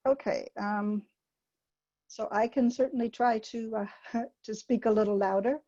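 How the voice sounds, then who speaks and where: An older woman giving a webinar talk, gentle and hesitant, with many ums, sharing anecdotes over a video call. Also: on the high side, steady tone, a little slow, no room sound, lo-fi sound. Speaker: webinar presenter. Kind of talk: informal teaching talk with anecdotes.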